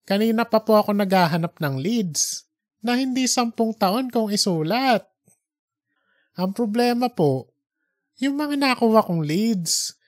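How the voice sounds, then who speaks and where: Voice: medium pitch, pitched above the performer's own voice. A harried male reporter of thirty, voiced by the narrator in an audiobook story. He talks earnestly, with a polite, deferential way toward his elders. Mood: weary, frustrated.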